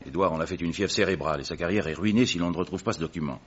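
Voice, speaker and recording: A man's voice: deep voice, very fast, breathy edge. An actor playing an anxious man who earnestly pleads for help for his brother in a vintage radio drama. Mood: pleading. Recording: dull old radio recording.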